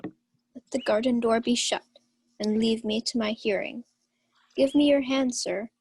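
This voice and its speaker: A woman's voice: on the high side, steady tone, unhurried, darkish tone, slightly rough. A woman playing a proud, lovestruck young lady of the house in a Shakespeare radio play, formal and declamatory. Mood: haughty. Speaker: student actor.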